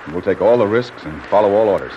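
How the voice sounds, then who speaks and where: An actor playing an easygoing, slangy American castaway in an old-time radio drama. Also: male voice, on the low side, expressive, fast, lightly acted. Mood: grateful. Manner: folksy, slangy, informal. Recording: muffled old radio recording, faint noise.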